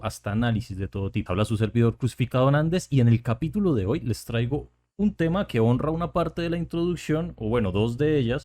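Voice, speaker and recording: Colombian male podcast host, relaxed chatty delivery, mid-range voice. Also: mid-range voice. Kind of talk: casual podcast chat.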